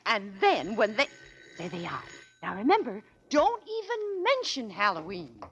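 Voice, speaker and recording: An actress playing a breezy, scheming, bossy wife in 1940s radio comedy, with a coy, drawn-out theatrical delivery. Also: female voice, medium pitch, huge pitch swings, a bit bright. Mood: gleeful, conspiratorial. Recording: muffled, hissy old radio recording.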